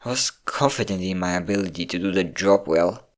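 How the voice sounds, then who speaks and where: A man acting as a young man with a fairly low voice, depressed and close to tears. He speaks haltingly and puts himself down as he opens up to his best friend. It has the feel of an intimate audio roleplay drama. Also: lightly acted. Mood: sad, reflective. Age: young adult. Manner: halting, self-deprecating, sighing. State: tearful.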